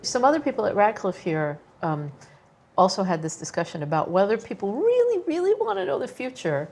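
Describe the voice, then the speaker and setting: A woman's voice: medium pitch, sing-song, a little fast, darkish tone, faint music behind. A woman interviewing a guest, curious and responsive, nodding along with short affirmations. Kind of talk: conversational interview.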